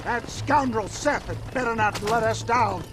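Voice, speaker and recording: A man's voice: very high voice, unhurried, music in the background. An actor playing a grandiloquent, nervous old male huckster in a video game cutscene. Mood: pompous, conspiratorial.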